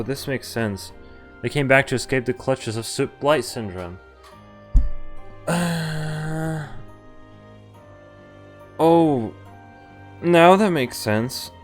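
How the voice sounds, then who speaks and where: A man streaming a visual novel, reading the game text aloud and breaking off into casual asides and reactions. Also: medium pitch. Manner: reacts with asides between read lines.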